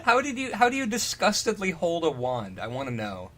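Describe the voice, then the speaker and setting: A man's voice: fairly high pitch, huge pitch swings, fairly quick, fairly bright tone. A man giving a comedic reading with casual riffing and sweary, exasperated asides. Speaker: co-host and reader.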